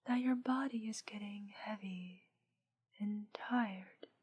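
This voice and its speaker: A woman playing a soothing, seductive witch hypnotist in a soft, breathy whisper, in an ASMR roleplay. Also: mid-range voice. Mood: hypnotic, lulling. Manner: slow, soothing, drawn-out delivery.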